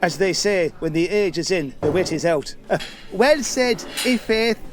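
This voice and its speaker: An actor playing a garrulous, self-important, muddle-worded constable in a Shakespeare radio play, rambling and pompous. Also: male voice, sits high, lively intonation, a little slow. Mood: pompous chattering. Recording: faint noise, home recording.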